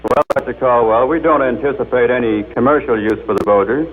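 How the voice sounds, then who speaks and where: A man's voice: medium pitch, a little fast, low-quality recording. A man speaking formally as an engineer giving a scripted demonstration on an old 1930s radio broadcast. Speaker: engineer demonstrating a speech machine.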